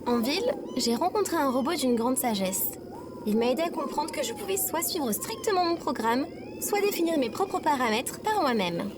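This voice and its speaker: An earnest female AI robot companion, acted by a woman for an audio drama. The voice is extremely high and very animated, with precise, formal, literal-minded phrasing and a touchy streak. Heavy background noise surrounds it. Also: moderate pace. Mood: solemn, forgiving. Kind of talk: scripted audio fiction acting.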